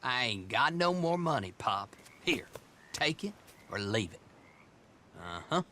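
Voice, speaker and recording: An actor playing a chatty, folksy, teasing rural horse dealer with an American Southern accent. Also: male voice, medium pitch, sing-song, unhurried, bright, forceful tone, a little hiss or hum. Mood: sly haggling. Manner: garrulous, folksy, sly haggling.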